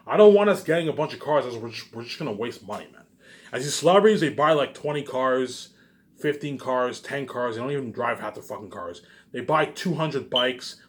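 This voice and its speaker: A man talking off the cuff in a casual, rambling monologue, circling back over the same phrases and tossing in casual swearing and tag questions. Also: mid-range voice.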